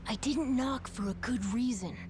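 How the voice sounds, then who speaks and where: A woman's voice: a little high, moderate pace, darkish tone, slight background noise, close to an everyday voice. A woman voicing an eager, cheerful, earnest young boy in a video game. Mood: proud, eager.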